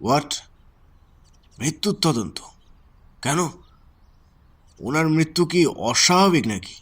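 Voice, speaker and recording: A man's voice: fairly high pitch, very animated, takes it slowly, fairly bright tone, husky, heavily acted. A man playing a courteous, concerned physician in a Bengali audio drama, with a polite, deferential and dramatic delivery. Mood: shocked. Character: courteous, concerned male physician questioned in a murder case.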